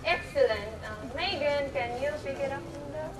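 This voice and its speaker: A woman's voice: high-pitched, moderate pace, recorded from a distance, lightly acted. A young woman in an amateur stage play acting a patient but exasperated schoolteacher, speaking in an instructive way. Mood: encouraging. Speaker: student actor in a school play. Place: echoey hall, distant microphone. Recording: echoey, noisy stage recording from afar.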